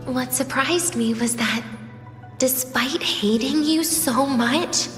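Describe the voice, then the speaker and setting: An actress playing a wistful young student in a game drama, bitter yet tender, speaking softly and lingeringly, at times slipping into a whisper. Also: female voice, on the high side. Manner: soft, slow, lingering, at times breaking into whispers. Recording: echoey long reverb with music behind.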